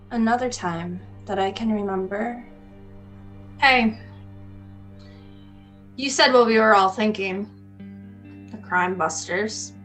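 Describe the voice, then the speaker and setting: Woman playing bright, defiant young woman. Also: medium pitch, rough recording. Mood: casual. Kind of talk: drama dialogue.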